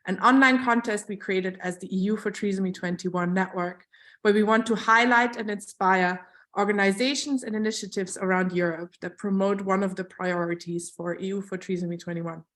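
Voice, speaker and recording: A woman's voice: medium pitch, fairly even intonation, normal speed. Chatty woman moderating an online panel. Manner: chatty, warm-hearted with fillers and asides. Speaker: event moderator.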